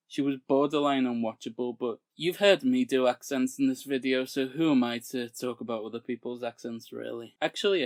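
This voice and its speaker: A man hosting a comic TV recap vlog, giggly and self-mocking, on a makeshift low-grade mic. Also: no echo, clean studio sound. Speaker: YouTube TV reviewer.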